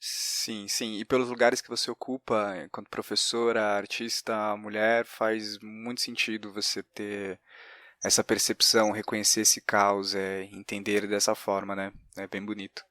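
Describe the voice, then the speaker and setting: A man's voice: on the low side, flat delivery, normal speed, bright, forceful tone, no room sound. A Brazilian man hosting a casual interview, tentatively rephrasing his questions as he goes. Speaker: podcast host and fellow playwright.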